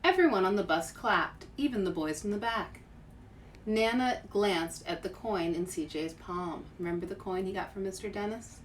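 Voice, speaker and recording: Expressive woman reading to children, faint noise. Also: medium pitch, moderate pace, rough recording. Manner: expressive, addresses young listeners directly. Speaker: author and show host. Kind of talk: children's picture book read-aloud with casual asides.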